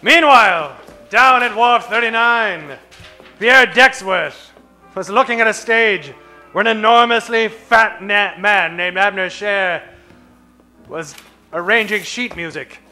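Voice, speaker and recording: Noir narrator played by a man, very bright forceful high voice, deadpan, old-time detective radio spoof with faint music. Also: takes it slowly, exaggerated voice. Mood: storytelling. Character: hard-boiled pulp detective story narrator. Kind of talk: comic 1940s radio drama narration.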